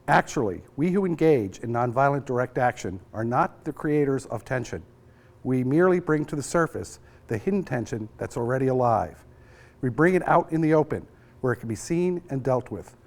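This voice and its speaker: Male reader, medium pitch, measured formal delivery of a historic letter. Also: darkish tone. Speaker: reader at a commemorative public reading. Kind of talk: reading a historic letter aloud.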